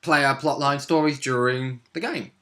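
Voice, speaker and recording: A man's voice: mid-range voice, steady tone, measured pace, sharp tone. A man in casual chat as a roleplay player, agreeable and easily amused.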